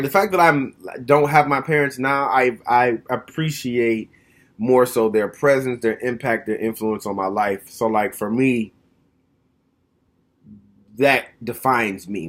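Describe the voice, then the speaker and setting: A man in his mid-thirties speaks at an average pitch in a relaxed, reflective monologue recorded at home. His delivery is frank and direct, and he thinks out loud as he talks. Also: measured pace. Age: 30s. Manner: frank, plainspoken, thoughtful. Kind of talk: casual reflective monologue to camera.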